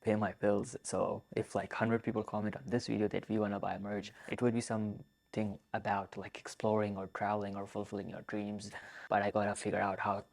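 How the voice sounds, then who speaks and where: A young man in his twenties, from Pakistan, answering viewer questions in a soft-spoken, earnest vlog. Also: fairly low pitch, flat delivery, fairly quick, gravelly. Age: 20s. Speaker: vlogger. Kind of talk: casual Q and A monologue.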